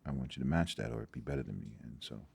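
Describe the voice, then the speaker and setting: A man's voice: rumbling low, animated, quick pace, gravelly, a little mellow, breathy edge, no room sound. A Nigerian-born man whose first language is Igbo, a guest in an interview, talking reflectively and often restarting his sentences. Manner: reflective, with many restarts and repeated words. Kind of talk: casual interview talk.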